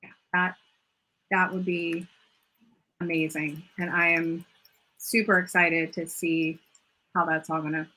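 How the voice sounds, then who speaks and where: A woman's voice: fairly low pitch, level tone, a little slow, long pauses, sharp tone, rough recording. A woman hosting a casual interview, enthusiastic and chatty, often repeating phrases and saying "like". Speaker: host and yoga mentor. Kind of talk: casual video-call interview.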